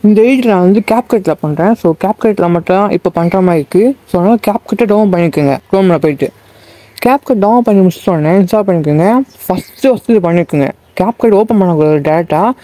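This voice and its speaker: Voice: mid-range voice, mellow. A presenter giving a casual, step-by-step how-to narration. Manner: instructional, step-by-step. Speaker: tutorial presenter.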